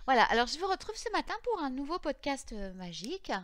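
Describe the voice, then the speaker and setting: A slightly hoarse woman in her thirties hosting a casual witchcraft podcast, rambling with many fillers and restarts. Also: high-pitched, sing-song, normal speed, fairly bright tone, no echo, clean studio sound. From France.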